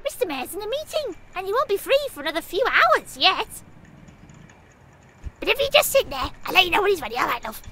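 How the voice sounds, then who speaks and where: An actress voicing a polite, homely receptionist in a game who apologizes and calls people love, with a Northern English accent. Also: female voice, extremely high pitch, sing-song, brisk, exaggerated voice. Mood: apologetic. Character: polite chatty town hall receptionist woman. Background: game music.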